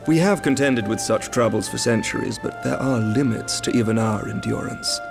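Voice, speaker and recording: A gravelly, shrewd knight commander. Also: male voice, lowish voice, over music. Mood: calm, diplomatic. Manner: formal, measured, archaic diction. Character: shrewd middle-aged male knight commander, composed. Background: orchestral game score.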